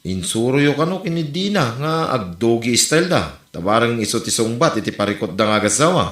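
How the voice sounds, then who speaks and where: A man's voice: mid-range voice, sing-song, brisk, fairly bright tone. A man with an Ilocano accent acting a teasing, exclamatory buddy in a comedy drama. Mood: teasing, animated. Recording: roomy echo.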